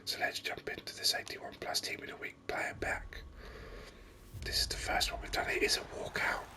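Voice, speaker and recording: A man whispers his way through video game commentary in ASMR style. The whisper is breathy and very bright, with sharp, crisp edges. He rambles on unhurriedly, close to the mic. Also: moderate pace. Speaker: gaming video host.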